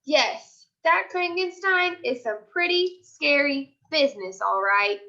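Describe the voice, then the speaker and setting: A woman teacher reading aloud to young children in an expressive storytelling way, with deliberate pauses. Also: high-pitched, highs cut off. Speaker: elementary school teacher.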